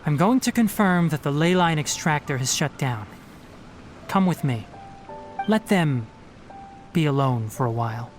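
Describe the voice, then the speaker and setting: A calm, rational young ranger-scholar with a slightly high voice. He explains things in a measured, methodical way and turns firm when giving instructions. Faint game music runs beneath his lines. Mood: businesslike. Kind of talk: studio game voice acting.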